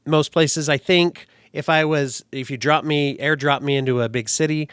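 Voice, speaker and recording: A man's voice: fairly high pitch, steady tone, moderate pace, a bit bright, smooth, dry recording. Give me a male host on a dry call mic, musing aloud as he asks questions. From United States. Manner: thoughtful, digressive, thinks aloud. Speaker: podcast host. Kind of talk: casual interview. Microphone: remote call.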